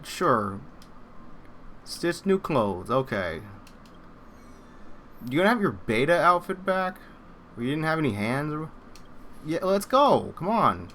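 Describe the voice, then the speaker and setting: A game streamer with a bright, very animated voice gives casual live commentary. The talk is joking and foul-mouthed, with slight noise behind it. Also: medium pitch, takes it slowly, long pauses.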